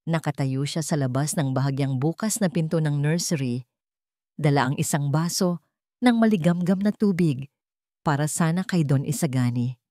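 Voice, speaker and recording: A woman narrates a dramatic story from a script. Her voice sits fairly low for a woman. The recording is very dry, with no room sound. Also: darkish tone, no room sound.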